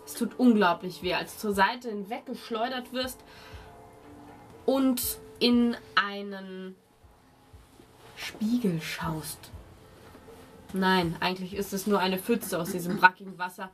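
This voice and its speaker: Female game master, mid pitch, very unhurried atmospheric narration with dramatic pauses, music bed.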